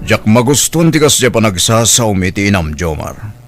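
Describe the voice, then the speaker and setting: A man's voice: lowish voice, sing-song, normal speed, soft background music. A man playing a stern, protective uncle in a radio drama, with a Filipino accent and a lecturing, reproving manner. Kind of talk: Ilocano radio drama acting.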